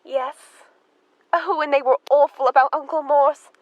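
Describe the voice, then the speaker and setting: A woman's voice: high voice, steady tone, a little slow, dry recording, lo-fi sound. A woman acting an anxious, easily distressed spinster sister in a radio drama, fluttery, pleading and defensive. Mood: indignant.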